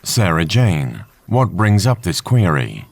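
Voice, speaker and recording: Guy doing a calm computer for an audio drama, super low voice, measured and formal, polite. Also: heavily acted. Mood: calm, courteous. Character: calm, courteous male supercomputer.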